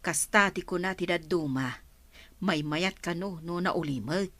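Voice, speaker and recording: A woman with an Ilocano accent playing a gentle, teasing older mother in a radio drama, speaking affectionately. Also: on the low side, measured pace, no room sound. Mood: gently concerned.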